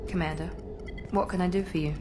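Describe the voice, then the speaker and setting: An actress playing a composed female operative in a video game, with a crisp, controlled delivery. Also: on the low side, fairly even intonation, fast talker, over music, natural voice. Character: cool, composed female operative, loyal and precise.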